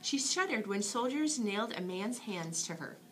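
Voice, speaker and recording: A woman with a bright, clear-cut delivery reads a bedtime storybook. Her pitch swings in a lively, expressive way for young listeners. A faint noise sits behind the voice. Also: moderate pace, poor audio. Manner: expressive, dramatic storytelling for children. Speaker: storybook reader. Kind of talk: bedtime story read aloud.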